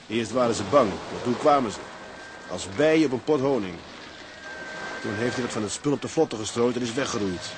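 An actor playing a seasoned sailor on watch in a Dutch audio drama, explaining matter-of-factly. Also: male voice, mid-range voice, brisk, lightly acted. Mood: conspiratorial, explaining. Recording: very noisy outdoor sound-effects bed.

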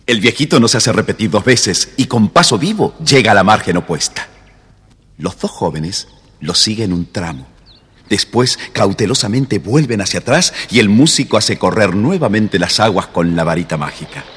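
A man's voice: on the low side, expressive, normal speed, fairly bright tone, husky, long reverb tail. A man narrating a children's story in a scripted, dramatic storytelling style.

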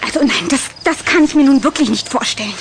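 Woman playing a coquettish, high-voiced young vampire girl. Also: fast talker, noisy background, lightly acted. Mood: intrigued.

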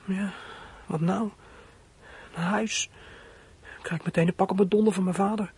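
An actor playing a frightened, scheming fourteen-year-old runaway boy in a radio play, husky and thinking aloud in an anxious, halting way. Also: sits high, fairly even intonation, a little mellow, full character voice. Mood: anxious, fretting. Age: teens. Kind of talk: radio play acting, monologue.

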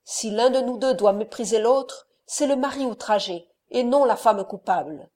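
A woman voicing a furious, jealous nobleman who hurls loud accusations in an audiobook reading. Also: a little high, normal speed, close to an everyday voice. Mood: scornful.

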